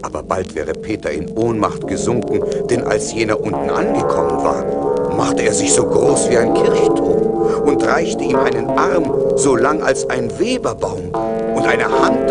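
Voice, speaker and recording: A man narrating a scripted fairy tale as a measured, dramatic storyteller. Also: medium pitch. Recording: 1980s vinyl radio play with music.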